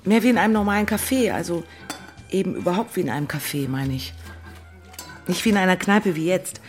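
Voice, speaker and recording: A woman's voice: fairly low pitch, a little fast, soft background music, close to an everyday voice. An actress playing a lovelorn, weepy and sardonic single woman from the city in a dramatic audiobook. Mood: hesitant, polite. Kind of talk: theatrical audiobook monologue and dialogue.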